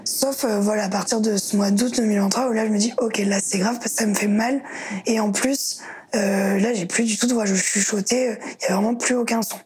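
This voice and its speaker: A woman's voice: medium pitch, steady tone, a little fast, a little mellow. A young woman telling her story in an interview, hesitant and reflective with many fillers. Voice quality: very breathy, husky voice. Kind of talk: personal testimony interview.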